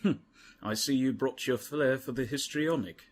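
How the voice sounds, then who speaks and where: A man voicing a cool, proud gentleman lawyer in a video game fan dub, with dry, formal composure. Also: medium pitch, normal speed, lightly acted.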